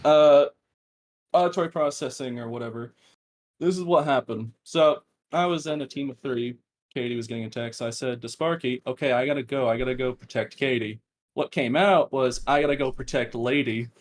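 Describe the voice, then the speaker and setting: A man sits in as a podcast guest, talking with a monotone delivery. He explains things plainly and haltingly, with a mid-range pitch. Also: a little hiss or hum. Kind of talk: casual interview answers.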